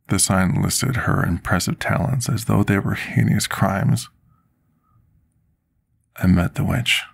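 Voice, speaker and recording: A man playing a brooding, formal-spoken potion master who tells his past in an intimate, measured ASMR roleplay monologue, with a gravelly, husky voice. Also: low voice, heavily acted. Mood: reflective. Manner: formal, archaic, measured storytelling diction.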